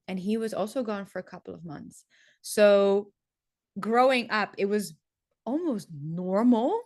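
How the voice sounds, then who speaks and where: A woman's voice: medium pitch, no room sound. Young woman teacher rambling casually, lots of likes and ums, mid voice, dead dry close mic. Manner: chatty, loose, with frequent "like" and "um". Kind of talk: casual reflective monologue.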